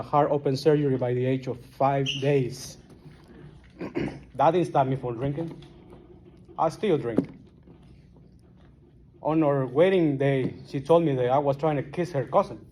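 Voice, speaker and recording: Guatemalan-accented man, mellow halting talk. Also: medium pitch, slight background noise. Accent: Guatemalan Spanish. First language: Spanish. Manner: halting, plain-spoken, restarts often. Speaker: AA meeting speaker. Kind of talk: personal recovery story.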